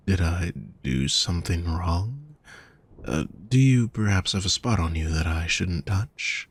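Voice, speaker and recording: A man playing a gentle, protective demon lover in an intimate roleplay audio, soft, husky and reassuring, speaking straight to the listener. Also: deep voice, slight background noise. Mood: concerned, gentle. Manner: soft, reassuring, unhurried coaxing. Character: gentle, teasing, protective male demon lover at a fantasy brothel.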